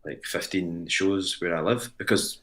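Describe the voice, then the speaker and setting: A man's voice: low voice, unhurried, a bit bright. A man, a radio co-host talking casually in a wry, thoughtful way. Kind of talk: casual chat.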